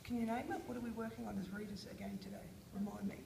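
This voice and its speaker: A woman's voice: medium pitch, steady tone, very fast, vocal fry, roomy sound, background noise. A woman with an Australian accent, teaching a small group of children a reading lesson, prompting them with questions and encouragement. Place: classroom.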